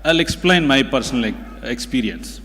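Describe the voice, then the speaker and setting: Male public speaker, native Tamil with Tamil Indian accent; mid-pitched, deliberate, emphatic repetition, motivational delivery; long hall reverb. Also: expressive, fairly bright tone. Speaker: guest speaker at a community event. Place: indoor event hall. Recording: hall reverb from a large room.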